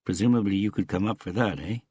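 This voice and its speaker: A man reading an audiobook as a worried, weary older father, warm and matter-of-fact in manner. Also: on the low side, fast talker, husky, no echo, voice pushed up.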